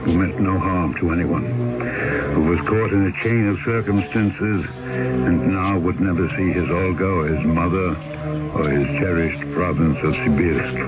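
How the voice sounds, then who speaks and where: A man's voice: sits low, rough recording. An actor playing an aging exiled ferryman who narrates his story and chats wryly, in an old radio drama. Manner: reflective, storytelling. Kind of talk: 1970s radio drama acting.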